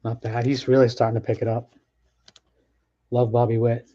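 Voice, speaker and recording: A man's voice: mid-range voice, monotone, moderate pace, mellow, highs cut off. A man hosting a sports card break, talking casually and thinking aloud as he opens packs. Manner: chatty, offhand, thinks aloud with restarts. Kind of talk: casual live commentary while opening packs.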